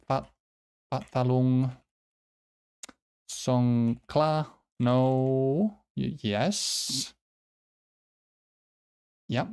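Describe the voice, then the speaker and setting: A man from the Nordic region, a native speaker of Swedish or Finnish, talking casually to viewers as a quiz host, halting and self-deprecating as he thinks aloud. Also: medium pitch, darkish tone, clean tone. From Finland or nearby Nordic region.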